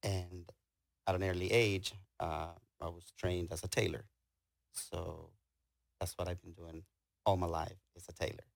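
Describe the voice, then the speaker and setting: A middle-aged man with a Guatemalan accent, talking casually and haltingly as an interview guest, telling stories about himself. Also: deep voice, level tone, gravelly, no echo, studio quality. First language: Spanish. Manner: halting, hesitant delivery. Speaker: interview guest, tailor and business owner. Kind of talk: casual conversational storytelling.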